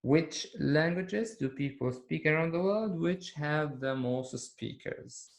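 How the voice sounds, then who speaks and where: Male English teacher, very slow and deliberate, slightly higher voice, repeats words for learners. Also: gravelly. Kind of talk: recorded video lesson.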